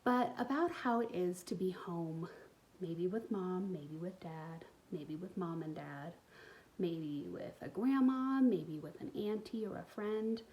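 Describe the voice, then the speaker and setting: A woman reading a picture book aloud to young children in an expressive way, pausing to explain things to them. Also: on the low side, low-quality recording. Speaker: storytime reader for a school community.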